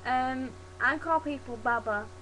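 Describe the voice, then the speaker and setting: A young woman with a softened Yorkshire accent talks casually into her webcam. Her voice sits a little high and sounds bright and forward. A faint hum runs underneath. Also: a little slow, rough recording. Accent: Yorkshire, softened toward standard British English. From Yorkshire, England. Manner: chatty, demonstrates dialect words, laughs at herself. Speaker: vlogger. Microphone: webcam mic.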